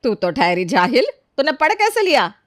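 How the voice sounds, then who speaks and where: A woman's voice: fairly high pitch, big pitch swings, moderate pace, fairly bright tone, no room sound, studio quality, pitched above the performer's own voice. A woman voicing a harsh, scornful middle-aged mother-in-law who scolds and orders her maid about in a cartoon story. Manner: scolding, commanding, sarcastic. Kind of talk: Hindi cartoon story character dialogue.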